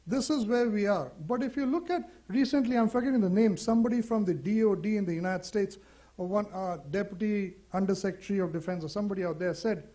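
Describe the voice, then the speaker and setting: Indian english guy, kinda high voice, chatty seminar talk, lots of you know and rhetorical questions. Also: fast, dark tone. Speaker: seminar speaker. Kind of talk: conference talk.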